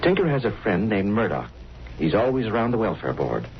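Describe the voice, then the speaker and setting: An earnest, formal gentleman speaks with animated rises and falls and careful, precise wording. He sounds worried, in classic radio drama style. The old recording is dull with faint hiss. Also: fairly low pitch, a little fast. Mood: earnest, uneasy. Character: earnest, precise, worried volunteer charity treasurer. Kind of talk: 1930s-40s radio drama acting. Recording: muffled, hissy vintage radio recording.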